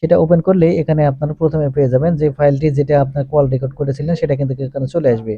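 A man narrates a tech tutorial in a fairly high voice at a very rapid pace. He goes through each step methodically, often repeating his phrasing. The recording is close and dry. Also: fairly even intonation, husky, no room sound, muffled recording. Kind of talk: step-by-step instructional voice-over.

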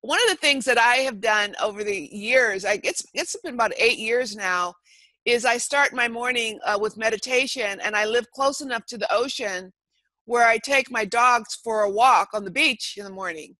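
A woman's voice: fairly high pitch, normal speed, sharp tone, no room sound. A woman hosting an interview, chatty and encouraging with her guest. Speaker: host, coach.

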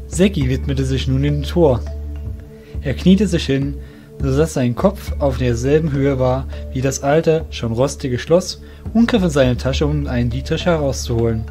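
A man's voice: mid-range voice, dark tone. A man narrating a fantasy audio drama from a script as the storyteller. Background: faint music.

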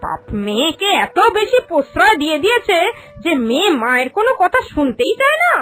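A woman voicing an exasperated, sharp-tongued village mother in an animated cartoon, scolding and exclaiming. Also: very high-pitched, a little fast, soft background music, heavily acted. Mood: nagging.

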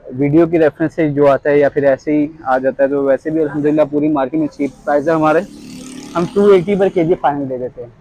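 Husky, higher-pitched salesman. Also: steady tone, fast, warm tone, no room sound, poor audio. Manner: polite, addresses customer as sir. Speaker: shop salesman. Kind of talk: casual sales pitch, showing products and prices. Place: small tiled fitness equipment shop, indoors.

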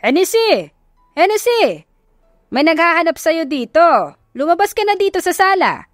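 A woman voicing a blunt, loud middle-aged father of grown daughters in an audio romance, with a Filipino accent. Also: extremely high pitch, very animated, normal speed, no echo, studio quality, soft background music, pitched above the performer's own voice. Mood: calling out.

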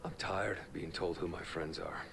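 An actor playing a steady, loyal male special operative in a military shooter game, terse and gravelly. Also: low-pitched, moderate pace. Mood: defiant. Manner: terse, understated. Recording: game audio with music behind.

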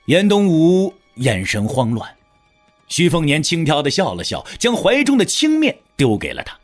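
A man's voice: on the high side, wildly swooping pitch, moderate pace. A man narrating a wuxia audio drama as a scripted, dramatic storyteller. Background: faint music.

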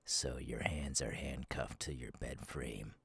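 A man playing a menacing, taunting masked slasher killer in an ASMR roleplay, gravelly and partly whispered, speaking slowly and deliberately close to the mic. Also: very deep voice, a bit bright, no room sound, full character voice. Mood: taunting, gloating. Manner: slow, deliberate, taunting, drawn-out pauses. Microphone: close microphone.

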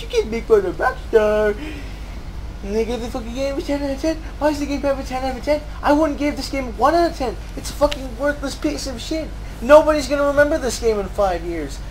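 A woman's voice: a little high, a little mellow. Exasperated woman ranting, noisy recording. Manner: exasperated, profane, emphatic, repetitive. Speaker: gaming video creator. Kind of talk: angry rant over gameplay.

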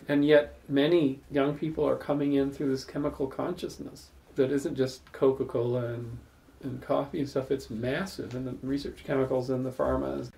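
A man hosts a casual salon in a living room and spins long, thoughtful stories. His voice has a gravelly texture and a dark, mellow tone, and he is picked up from across the room. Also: mid-range voice. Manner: thoughtful, rambling, builds long spoken stories. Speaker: salon host. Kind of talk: reflective storytelling in casual group talk.

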